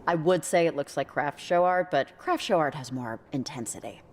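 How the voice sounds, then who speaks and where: A woman's voice: low voice, lively intonation, moderate pace, darkish tone, slight background noise. An actress playing a wry, skeptical young woman in a video game, speaking in a naturalistic, understated, conversational way. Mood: wry, unimpressed. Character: dry-witted, skeptical young woman exploring a cult's hideout. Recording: music behind the voice.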